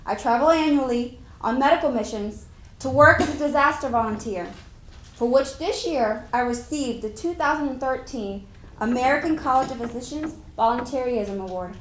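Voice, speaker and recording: A woman's voice: sits high, moderate pace, lightly acted. A woman playing an accomplished physician, formally reciting her life story in a scripted dramatic reading. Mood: formal, proud. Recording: echoey hall stage recording.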